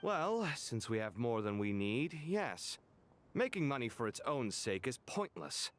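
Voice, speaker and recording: A man voicing a principled, cultured young merchant lord in a video game, articulate and expressive. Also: medium pitch, measured pace. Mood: businesslike.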